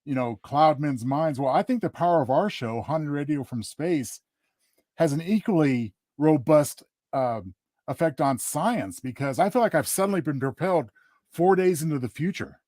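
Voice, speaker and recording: A man co-hosting a casual show, speaking in a halting, courteous way. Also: a little high, expressive, darkish tone, no room sound, clean studio sound. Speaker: co-host. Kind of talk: casual chat.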